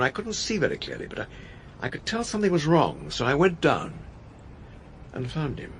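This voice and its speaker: English army general, gravelly, lively intonation, formal, courteous, clipped, radio drama. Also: male voice, mid-range voice, a little hiss or hum. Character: brisk, decent English military general in authority. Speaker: deputy governor. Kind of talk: British radio drama acting.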